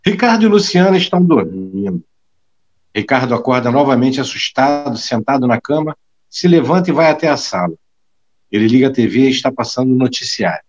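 A man's voice: medium pitch, animated, brisk, darkish tone, dull audio. A man narrating an audio drama from a script, describing the action.

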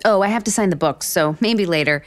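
An actress playing a flustered, self-deprecating author in a romantic comedy audio drama, with an American accent and halting, deadpan asides. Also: female voice, medium pitch, lightly acted. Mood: awkward, exasperated. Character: flustered, sardonic, self-deprecating woman author on book tour.

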